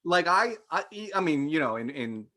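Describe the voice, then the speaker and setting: A man's voice: on the high side, animated, normal speed, sharp tone. A man giving his opinions informally as a panelist in a casual discussion, repeating words as he thinks.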